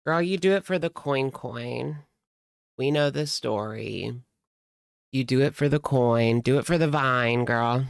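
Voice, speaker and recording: A woman's voice: extremely low pitch, measured pace, creaky, no room sound, clean studio sound. A woman doing casual reaction commentary in a sassy, slangy way. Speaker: reaction channel host.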